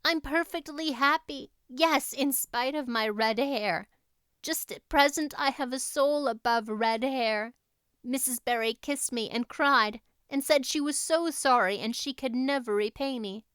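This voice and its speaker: A woman voicing an excitable, talkative young girl with a gushing, dramatic, flowery manner in an audiobook. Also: high voice, a little slow, smooth, no room sound, pitched above the performer's own voice. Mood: blissful, dramatic. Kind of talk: audiobook character voice.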